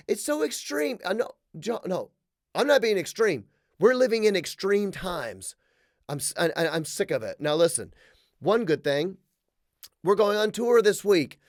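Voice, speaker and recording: A man in his thirties hosts his own podcast, talking fast and animated with a sarcastic edge. His voice sits fairly high for a man. The sound is close and dry, with almost no room echo. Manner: animated, sarcastic, quick to joke. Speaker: podcast host. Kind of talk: casual solo podcast rant. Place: small room with wood-plank wall.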